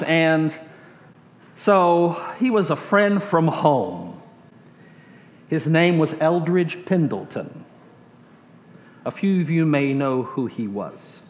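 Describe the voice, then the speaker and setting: Higher-voiced American preacher in an echoey room. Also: male voice. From Texas, USA. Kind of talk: sermon storytelling.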